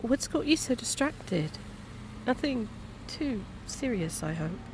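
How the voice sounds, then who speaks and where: A woman acting in an intimate audio roleplay as a kind, gently teasing private eye, talking softly-concerned and conversational to a stranger. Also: a little high, big pitch swings, slow pace, noisy background. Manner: soft-hearted, hesitant, questioning, playful asides.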